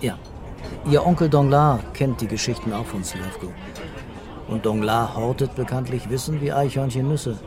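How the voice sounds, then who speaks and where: An actor playing a brooding, terse police commissioner in a German radio crime drama. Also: male voice, mid-range voice, moderate pace, a crowd in the background, close to an everyday voice. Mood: musing. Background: music and vehicle ambience.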